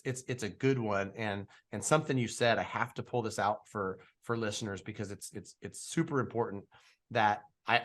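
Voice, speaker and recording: A man's voice: fairly low pitch, a bit bright. A man in his forties hosting a podcast, chatting in an enthusiastic, conversational way with lots of "like" and "you know.". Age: 40s. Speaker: podcast host. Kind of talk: casual interview chat.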